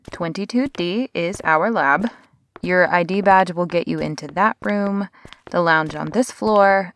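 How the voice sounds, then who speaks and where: A woman's voice: lowish voice, a little hiss or hum. A woman playing a sarcastic, brusque but friendly workaholic researcher in a sci-fi audio roleplay, with a casual, wry delivery.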